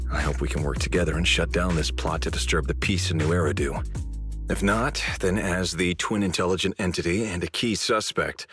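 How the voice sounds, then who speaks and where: Game casting: senior security officer, very low and crisp-edged, formal, measured, drily commanding, music underneath. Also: male voice, fairly bright tone. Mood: politely guarded. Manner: measured, formal, politely pointed. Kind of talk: English video game dub voice acting.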